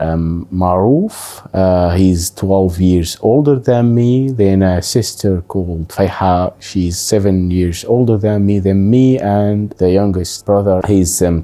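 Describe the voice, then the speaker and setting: Man, 30s, interviewee; low mellow voice, halting and thoughtful. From Syria. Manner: halting, thoughtful, many pauses. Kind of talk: personal interview, life story.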